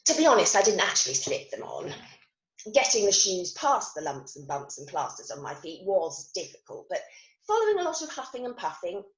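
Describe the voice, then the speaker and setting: An actress performs a wistful, self-critical woman in a dramatic monologue. Her voice sits a little low and she takes her time, with emphatic phrasing and deliberate pauses. The recording has a clear room echo. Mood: wry. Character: self-critical woman recovering from a car crash, wry and wistful. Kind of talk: dramatic monologue reading of new writing. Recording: roomy, echoing online recording.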